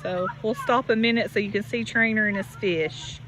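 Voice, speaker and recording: A woman teacher reading a picture book aloud to children, deliberate and expressive, with questions and asides to her listeners. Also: medium pitch. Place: outdoors beside a natural pond. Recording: steady outdoor background noise. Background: birds and outdoor ambience.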